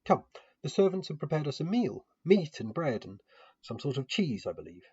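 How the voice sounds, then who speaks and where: A man's voice: on the high side, very animated, moderate pace, mellow, husky, lo-fi sound, voice pushed up, full character voice. A man voicing a friendly, curious young noblewoman with polite, refined phrasing in an audiobook-style fantasy tale. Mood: warm, welcoming.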